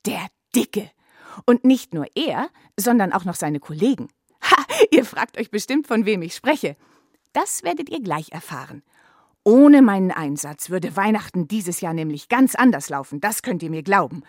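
A woman playing a chatty, curious reporter who narrates a children's radio play expressively, with a husky voice. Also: medium pitch, very animated, moderate pace, no room sound, studio quality. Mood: excited, storytelling.

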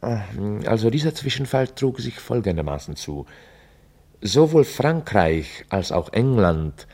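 An actor playing a formal chronicler, narrating a scripted radio drama in a documentary manner. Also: male voice, lowish voice, a little mellow. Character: formal chronicler recounting a history.

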